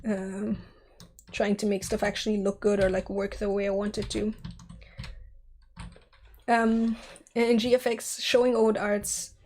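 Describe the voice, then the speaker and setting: A woman's voice: medium pitch, flat delivery, a little slow, long pauses, darkish tone. A young woman in her twenties streaming while she sculpts, chatty and giggly as she answers chat, her voice scratchy from a cold. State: scratchy from a sore throat and cold. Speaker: streamer, 3D artist.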